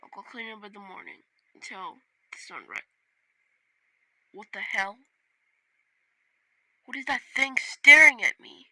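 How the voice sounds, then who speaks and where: A woman's voice: a little high, animated, a little slow, long pauses, sharp tone, no room sound, low-quality recording. A woman doing informal narration and amateur acting as a video creator.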